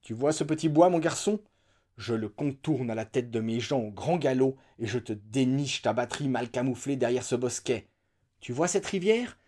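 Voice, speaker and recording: A man's voice: normal speed, close to an everyday voice. A man voicing a raving, unhinged old general who bellows battle cries in a dramatic story reading. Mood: boastful. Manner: roaring battle cries, sudden high shouts.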